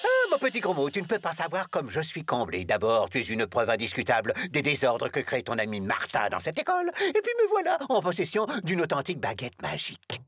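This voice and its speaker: An actor playing a vindictive, gloating schoolmaster in a cartoon, theatrical and easily outraged. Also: male voice, mid-range voice, huge pitch swings, a little fast, few pauses, fairly bright tone, slightly rough, heavily acted. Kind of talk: animated cartoon dubbing.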